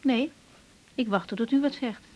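An actress playing a young woman doctor in a 1980s radio drama, gentle and matter-of-fact in manner. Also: on the high side, unhurried, husky, highs cut off. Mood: calm, professional. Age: young adult. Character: young woman doctor, tender lover in private, patient at work.

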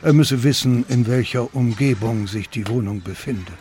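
An actor playing a fussy Parisian landlord who reports as an informant in a radio play, formal, stilted and prim. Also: male voice, mid-range voice, moderate pace, darkish tone, a little hiss or hum, close to an everyday voice. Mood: dutiful, formal. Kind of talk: radio play monologue, formal written report read aloud.

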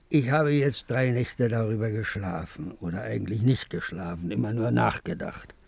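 Gravelly, agitated war veteran. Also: male voice, medium pitch, a little mellow, dry recording, full character voice. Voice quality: gravelly, husky. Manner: halting, repeating himself, swinging from muttering to outbursts. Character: Anton, agitated grieving war veteran with a bad leg. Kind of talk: 1950s German radio drama acting.

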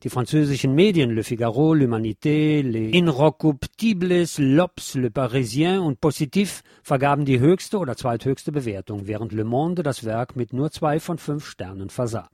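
A man's voice: mid-range voice, normal speed, few pauses, a little mellow, no echo, clean studio sound. A man hosting a radio show, reading a film review from a script with chatty asides to his listeners.

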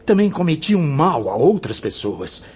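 Casting: anguished ghost of a guilty Brazilian man, highish voice, very varied melodramatic delivery. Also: big pitch swings, moderate pace, a little hiss or hum. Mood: anguished remorse. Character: tormented spirit of a guilty man who killed himself. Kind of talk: radio drama acting in Portuguese. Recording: dull audio under loud music.

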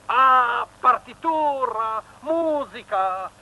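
A fawning Italian opera devotee in an old radio comedy. His voice is extremely high and flowery, sprinkled with foreign words in a thick Italian accent, on a dull, muffled recording. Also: expressive, extremely slow, full character voice. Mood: eager, obliging. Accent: Italian, put on. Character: effusive, fawning Italian male opera-house welcomer.

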